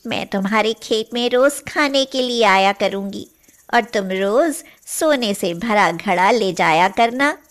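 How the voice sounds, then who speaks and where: A woman voicing a kindly, just magical golden cow in an animated moral story, with a measured, solemn manner. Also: mid-range voice, lightly acted. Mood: kind, generous.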